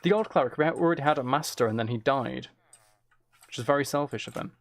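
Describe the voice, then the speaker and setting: A man's voice: medium pitch, fast talker, no room sound. A man giving casual gameplay commentary, thinking aloud in a musing, hesitant way as he plans. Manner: musing, self-talking, hesitant planning. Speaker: gaming streamer. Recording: keyboard clicks and typing noise. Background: faint noise, computer keyboard and mouse clicks.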